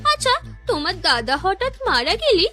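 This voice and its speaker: A scheming young village wife from a cartoon, acted by a woman, with an extremely high, bright voice. She coaxes and complains coyly, swinging up and down with lively pitch. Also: moderate pace, faint music behind, full character voice. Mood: scheming, urgent. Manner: coaxing, complaining. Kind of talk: animated cartoon story dialogue.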